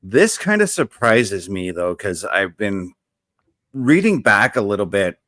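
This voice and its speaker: A man co-hosting a podcast. His voice is low and dry, with no room sound. He reads news items briskly, stumbling over names and dropping in fillers. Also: sing-song, fairly bright tone, no echo. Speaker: podcast co-host. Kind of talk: casual podcast chat and news rundown.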